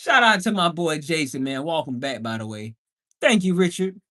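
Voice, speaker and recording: A man's voice: fairly high pitch, expressive, normal speed, pushed and bright. A man hosting a casual livestream, talking in a slangy, playful way with emphatic exclamations. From Bahamian background. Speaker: livestream host and house flipper.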